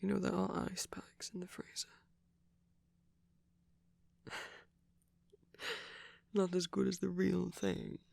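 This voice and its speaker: A woman playing a gentle, doting vampire boyfriend in a soft-spoken romantic ASMR roleplay, tender and lightly teasing, often whispering close to the microphone. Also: mid-range voice, monotone, slow, gravelly, long pauses, dry recording. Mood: tender, soothing.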